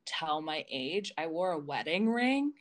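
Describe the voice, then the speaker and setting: A woman's voice: low voice, very animated, a little slow. A woman co-hosting a podcast in casual chat, telling personal stories in a candid, informal way with some swearing. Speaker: podcast co-host.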